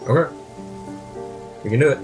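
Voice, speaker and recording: A man in a tabletop game, casually stating his moves in a halting, tentative way. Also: low-quality recording. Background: background music.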